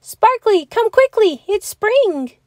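A woman voicing a small young male bear cub in a storybook, with high, childlike calls. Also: expressive, takes it slowly, no room sound, full character voice. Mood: excited.

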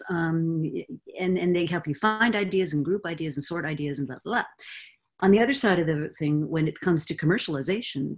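A woman in her sixties explaining with confident, animated energy as guest expert on a video call from a home study. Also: deep voice. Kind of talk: video call roundtable answer. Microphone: webcam on a video call.

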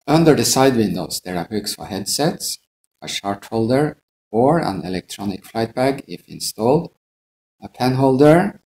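A middle-aged male pilot narrates in a slightly low, gravelly voice. His delivery is plain and methodical, like a scripted training lesson. A faint hiss sits under the recording. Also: a little mellow, poor audio. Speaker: airline pilot instructor.

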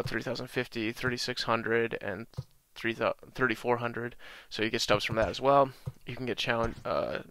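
A young man with a slight cold-raspy voice, casually walking through a video game tutorial with lots of ums. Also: mid-range voice, no room sound. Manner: relaxed, many ums and uhs, talks through menus. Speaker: gaming channel host.

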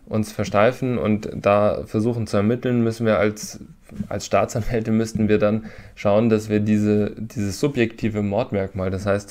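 A man's voice: lowish voice, a little mellow. A man giving methodical legal explanations in long sentences as an interview guest. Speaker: lawyer, expert guest.

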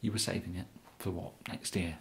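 Hushed, low English kidnapper, acted by a man. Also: fairly even intonation, slight background noise. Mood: matter-of-fact. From England. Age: young adult. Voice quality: hushed, breathy whispering and soft speech. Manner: hesitant, halting, rambling. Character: bumbling, apologetic, awkwardly polite male kidnapper. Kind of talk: whispered ASMR roleplay. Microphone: close microphone.

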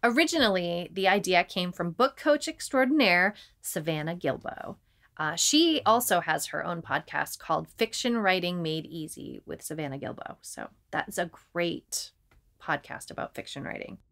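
A woman's voice: lowish voice, lively intonation, moderate pace, gravelly, fairly bright tone. A woman talking conversationally as a podcast co-host.

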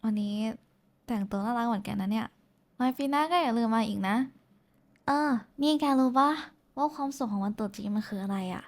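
Woman acting a shy, affectionate young woman, higher-pitched. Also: brisk, lightly acted. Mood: tender, teasing. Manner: casual, hesitant, bashful. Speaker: VTuber voice actress. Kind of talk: intimate roleplay voice pack addressed to the listener.

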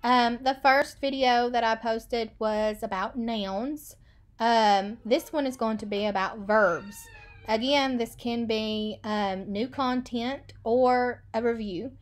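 Slow, high-voiced woman teacher reading aloud. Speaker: elementary school teacher. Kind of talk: read-aloud picture book lesson for children.

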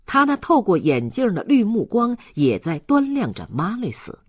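A man reading a novel aloud as an audiobook narrator, in an expressive literary storytelling style. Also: sits high, normal speed, slightly rough, dry recording, clean studio sound.

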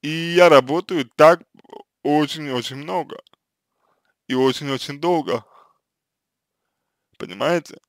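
A man giving informal counseling advice, halting, often repeating and restarting his phrases. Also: a little high, no echo, studio quality. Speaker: counselor answering written questions. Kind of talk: reading a letter aloud, then informal advice.

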